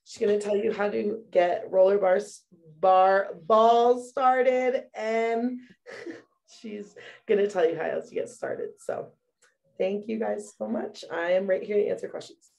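A woman's voice: medium pitch, fairly even intonation, a little slow, vocal fry, a little mellow. A woman, a mother, casually presenting products from her bathroom at home, chatty and full of fillers. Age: young adult or middle-aged mother. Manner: chatty, many fillers, addresses viewers as "you guys". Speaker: essential oil presenter.

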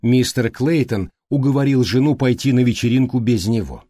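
A man reading a mystery audiobook as scripted narration, with measured, clearly phrased delivery. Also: medium pitch, mellow, dry recording, studio quality.

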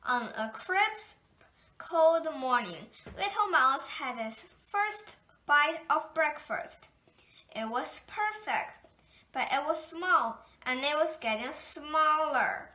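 A woman reading a children's picture book aloud in a gentle, unhurried storytelling manner. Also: high-pitched. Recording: echoey room.

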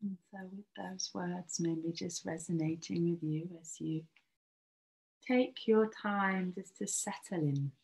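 A woman guiding a yoga nidra relaxation in a soothing, breathy manner that often lapses into a near-whisper. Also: fairly low pitch, slow, a little mellow, low-quality recording. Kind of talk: guided relaxation meditation.

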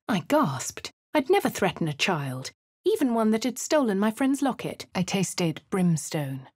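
A woman voicing a posh, plucky young adventurer with a southern English accent, speaking crisply and chanting spells. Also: lowish voice, no room sound. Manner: chants spell incantations loudly. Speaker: player character voice. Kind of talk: video game voice lines. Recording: dry studio recording.

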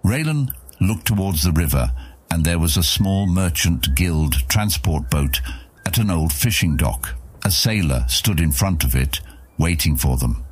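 A man narrating a fantasy audiobook in a measured storytelling manner. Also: deep voice, lively intonation, breathy edge.

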